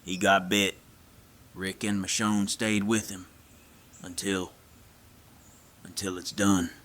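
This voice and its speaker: A man playing a grieving, weary apocalypse survivor in an audio drama, speaking in a halting, subdued way. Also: sits low, level tone, fairly bright tone. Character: grieving, weary male apocalypse survivor breaking terrible news.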